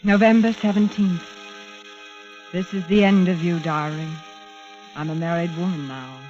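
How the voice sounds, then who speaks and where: A woman's voice: lowish voice, fairly even intonation, measured pace, darkish tone, faint music behind, close to an everyday voice. Muffled young radio heroine played by a woman. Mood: composed, reading. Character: guarded young heiress, a woman in danger. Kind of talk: 1940s radio drama acting. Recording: muffled 1940s radio recording.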